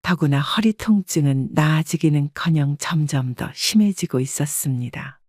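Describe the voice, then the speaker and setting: A woman's voice: low-pitched, animated, normal speed, darkish tone, dry recording, clean studio sound. A woman reading a scripted first-person story as an audiobook narrator.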